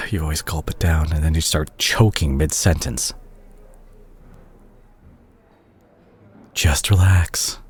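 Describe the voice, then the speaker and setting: A man playing a remorseful, tender doctor ex-boyfriend in an intimate audio roleplay, speaking softly and hesitantly to the listener. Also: rumbling low, moderate pace, slight background noise. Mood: tender, caring. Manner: hesitant, gentle, self-conscious, sighing. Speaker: audio drama voice actor.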